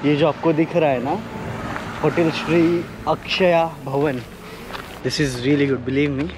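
A young man vlogging on a road trip, talking excitedly to a handheld camera outdoors and from a car. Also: on the high side, slow pace, background noise. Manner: excited, chatty, mixing Hindi and English. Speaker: travel vlogger. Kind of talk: casual on-camera travel chat. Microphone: handheld camera at arm's length.

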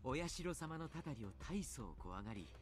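An actor playing a soft-spoken, grave male doctor in a Japanese visual novel, speaking politely and choosing his words with care. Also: medium pitch, big pitch swings, fast talker, natural voice. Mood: somber, troubled.

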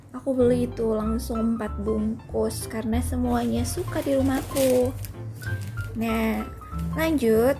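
Chatty woman, slightly high voice. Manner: chatty, pauses to recall prices. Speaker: vlogger. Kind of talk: casual grocery haul voice-over. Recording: voice-over with clear background music.

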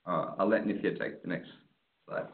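A man's voice: deep voice, fairly even intonation, normal speed. A man giving a casual, upbeat webinar presentation over a video call, often tagging on "right?". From Australia. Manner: casual, upbeat, tag questions. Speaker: startup presenter. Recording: tinny call audio.

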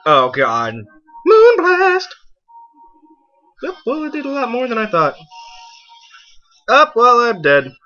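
A young man giving casual, excitable let's play commentary, exclaiming and talking to the game and himself. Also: very high-pitched, takes it slowly, pauses often. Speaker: gaming video host. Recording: muffled lo-fi mic, game music behind. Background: video game music.

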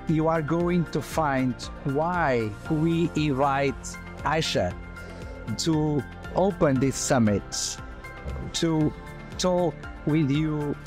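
A middle-aged man speaking in measured, halting phrases as he presents an event to camera like an interviewer. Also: on the high side. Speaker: doctor, interviewer. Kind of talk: promotional interview, addressing camera. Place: large open hospital lobby, indoors, seated on sofa. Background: background music.

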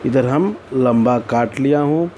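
Mellow Indian man narrating a recipe. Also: mid-range voice, unhurried, a little hiss or hum. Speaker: cooking channel host.

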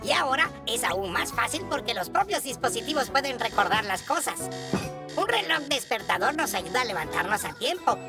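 A woman's voice: on the high side, sing-song, moderate pace, music in the background. A woman voicing an instructive, orderly cartoon teacher who explains things didactically in a children's educational dub. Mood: explanatory.

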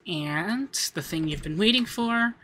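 Man acting as young rich femboy, ASMR roleplay, light gentle pitch, playful, affectionate, unhurried, background hiss. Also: animated, exaggerated voice. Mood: doting. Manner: soft-spoken, giggly, affectionate, hesitant fillers.

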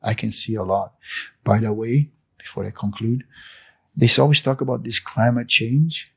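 Husky sixty-year-old man, preachy. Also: mid-range voice, normal speed. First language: not English. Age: 60s. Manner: preachy, emphatic, gestures with hands. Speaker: cafe owner interviewed. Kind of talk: impassioned interview monologue. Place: living room indoors. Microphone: handheld fluffy interview mic.

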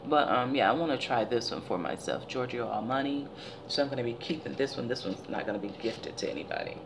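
A woman in her forties with a deep, gravelly, tired-sounding voice, chatting casually as a vlogger in her living room. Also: fast talker, noisy background. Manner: chatty, animated storytelling. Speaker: beauty vlogger. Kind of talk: casual product haul chat. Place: living room by window blinds, indoors.

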